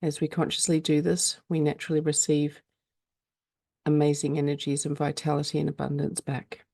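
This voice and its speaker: Gravelly, mellow woman guiding a meditation. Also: sits low, no echo, studio quality. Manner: slow, calm, soothing delivery with frequent pauses. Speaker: meditation guide. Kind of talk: guided meditation.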